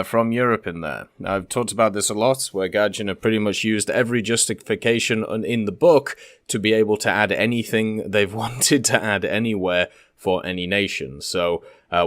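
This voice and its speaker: A man's voice: fairly low pitch, a bit bright, no room sound, clean studio sound. A man hosting a gaming channel, giving casual, conversational and opinionated commentary as he reads and discusses questions and answers. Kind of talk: casual video commentary, reading and discussing developer Q&A.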